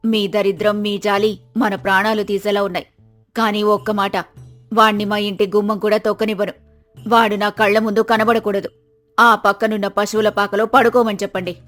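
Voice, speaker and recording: A woman's voice: mid-range voice, flat delivery, moderate pace, a bit bright, natural voice. A woman playing a poor, weary village wife in a moral story drama, scolding her husband in an exasperated, complaining manner. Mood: grudging, exasperated. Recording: music behind the voice.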